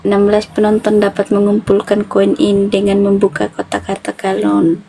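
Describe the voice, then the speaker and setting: A woman's voice: mid-range voice, completely flat delivery, moderate pace, mellow, a little hiss or hum, rough recording. A woman from Toraja hosting a casual live stream, chatty and teasing. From Toraja, South Sulawesi. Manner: teasing, chatty, laughs often.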